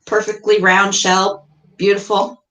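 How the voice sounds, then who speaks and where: A woman's voice: mid-range voice, flat delivery, unhurried, fairly bright tone. Woman, middle-aged, American accent, casual livestream chat, plain-spoken, roomy echo. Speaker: livestream guest, reptile keeper. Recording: roomy, echoey livestream audio.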